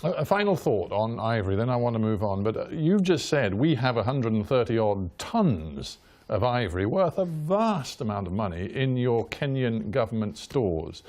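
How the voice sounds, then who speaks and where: A man's voice: medium pitch, big pitch swings, normal speed, darkish tone. A man hosting a hard-hitting broadcast interview, asking blunt, probing questions.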